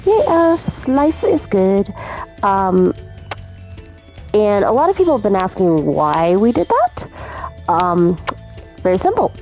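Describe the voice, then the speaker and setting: A woman's voice: mid-range voice, very animated, slow pace, long pauses, a little mellow, faint music behind. A woman in her thirties hosting a casual let's play, chatty and apologetic, her voice a little off from being sick. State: slightly off voice from illness. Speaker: gaming channel host.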